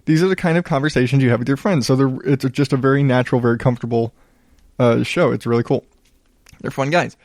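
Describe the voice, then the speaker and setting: A man hosting a solo podcast in a smooth voice, chatting casually in a low-key, rambling, self-deprecating way with some swearing. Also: darkish tone, no room sound, studio quality. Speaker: podcast host.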